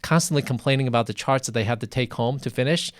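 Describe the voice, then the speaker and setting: A man's voice: medium pitch, fast talker, no room sound. A middle-aged male physician hosting a live interview, talking in a thoughtful, conversational way and often repeating words as he goes.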